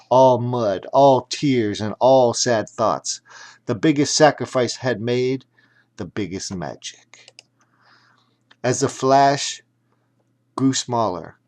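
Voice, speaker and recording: A man narrating a children's audiobook dramatically, in deliberate, emphatic, broken phrases. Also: medium pitch.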